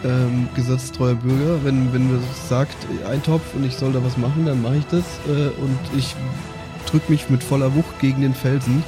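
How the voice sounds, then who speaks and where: Male tabletop player. Casual, halting improviser, noticeable music underneath. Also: medium pitch, flat delivery. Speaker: player in a tabletop role-playing game. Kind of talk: casual improvised game talk.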